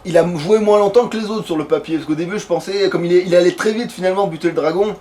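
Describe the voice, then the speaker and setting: A man's voice: sits high, fast, darkish tone. A man giving casual let's play commentary, thinking aloud in slangy, crude language. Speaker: let's player. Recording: faint background noise.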